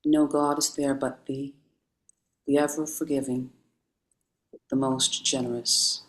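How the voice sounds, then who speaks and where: A speaker recites a long devotional prayer with reverence. The delivery is very slow and unhurried, and the pitch stays flat and even throughout, with little rise or fall. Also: low-quality recording.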